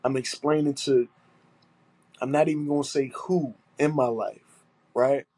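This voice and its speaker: A man's voice: mid-range voice, fairly even intonation, a little slow, warm tone, no echo. Congested, aggrieved man. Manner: aggrieved, emphatic, repeating himself, swearing. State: stuffy nose, congested. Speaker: podcast host. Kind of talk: solo monologue podcast.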